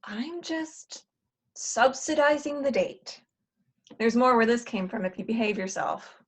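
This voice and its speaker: Casting: actress for exasperated, directive female fixer, sardonic, fairly high voice, stage comedy read. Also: expressive, a little slow. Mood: bossy. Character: bossy, exasperated female matchmaker from the future. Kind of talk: virtual table read of a comedy play.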